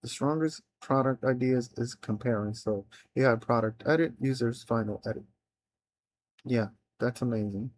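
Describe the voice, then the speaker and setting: A man's voice: medium pitch, slight background noise. A man whose first language is Spanish, streaming live as a developer, reading plans aloud and thinking through them with frequent restarts and trailing phrases. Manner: halting, reads plans aloud with many restarts.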